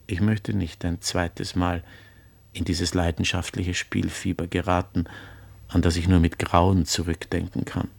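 A man's voice: low voice, vocal fry, no echo. An actor playing a haunted, courteous former prisoner wary of his old obsession, speaking formally and hesitantly in a radio play. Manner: hesitant, repeats phrases, formal and polite. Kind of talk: literary radio play monologue.

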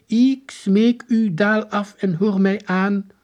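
A man voicing a strange old-fashioned soldier in a storybook, speaking slowly in formal, pleading, courtly language. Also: high-pitched, steady tone, darkish tone, lo-fi sound, voice pushed up. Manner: slow, archaic, courtly speech. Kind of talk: storybook character voice.

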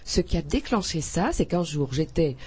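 A woman's voice: low-pitched, lively intonation, normal speed, darkish tone. A woman author from Belgium in a radio interview, talking effusively with frequent hesitations, restarts and laughter.

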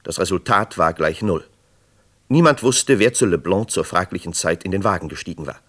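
A man's voice: low-pitched, expressive, normal speed, fairly bright tone. A man narrating a scripted true crime radio drama in a sober manner. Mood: sober, suspenseful.

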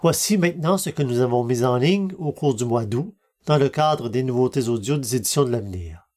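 A man's voice: medium pitch, animated, a little fast, a little mellow. A man hosting a podcast, reading scripted narration and announcements. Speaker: podcast host and reader.

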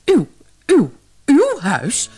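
Woman as a flustered, indignant rabbit, swooping high. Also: wildly swooping pitch, extremely slow, warm tone, slightly rough, exaggerated voice. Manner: stammering, blustering. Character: polite but indignant male rabbit, easily flustered. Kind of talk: storybook character voice.

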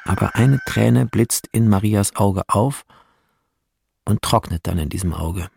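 A man's voice: on the low side, measured pace, vocal fry, a little mellow, slight background noise. A man reading screenplay directions as scripted narration in a radio drama.

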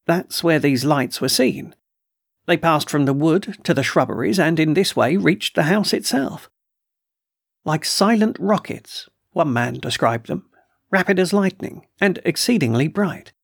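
Man reading as an agitated storybook colonel, raised pitch, gravelly edge, emphatic. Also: animated, measured pace, no echo, clean studio sound, heavily acted. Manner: agitated, emphatic, snorting outbursts. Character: blustering excitable middle-aged male colonel.

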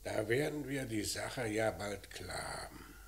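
Dry police commissioner, echoey recording. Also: male voice, on the low side, expressive, measured pace, gravelly. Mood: calm, dry. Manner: terse, probing, unruffled. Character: calm, dry, methodical male police commissioner. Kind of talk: vintage German radio crime play acting. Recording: echoey, noisy old radio recording.

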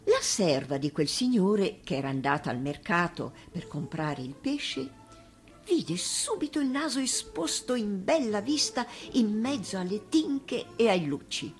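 A woman's voice: medium pitch, big pitch swings, unhurried. A woman reading a children's tale expressively as an actress, with music behind her. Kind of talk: expressive storybook narration. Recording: radio reading over music.

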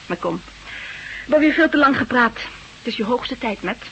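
Devoted, fervent wife, dull hissy radio recording. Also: female voice, on the high side, animated, moderate pace, a bit bright. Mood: caring, brisk. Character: brisk devoted wife of an amnesiac truck driver, fervent believer. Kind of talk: Dutch radio drama acting.

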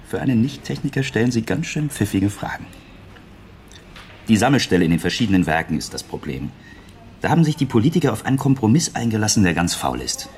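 Low, lively, roguish technician. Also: male voice, fast talker, slight background noise. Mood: wry, explaining. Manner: wry, teasing, chatty. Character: roguish, clever male computer-centre technician, playful tinkerer. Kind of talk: radio play dialogue.

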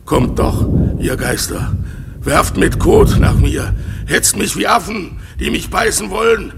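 An actor playing a resentful, fearful island monster in a German radio play, theatrical and gravelly, swinging from growled curses to panicked cries. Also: male voice, high-pitched, lively intonation, takes it slowly, very noisy, full character voice. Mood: defiant, cursing. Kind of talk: German radio play acting of classic verse.

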